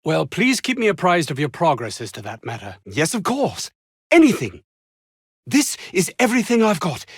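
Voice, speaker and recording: An actor playing a pompous, ambitious, anxious research scientist in a video game, speaking with haughty, fussy, old-fashioned formal diction. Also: male voice, high voice, dry recording, clean studio sound, heavily acted. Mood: scornful, exasperated. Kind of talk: theatrical game dialogue.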